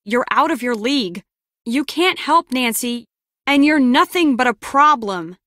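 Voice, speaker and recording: High, lively, sardonic spy. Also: female voice, unhurried, no room sound. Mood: scornful. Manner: dry, wry. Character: sardonic, hard-nosed female spy, wry and threatening. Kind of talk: video game voice acting.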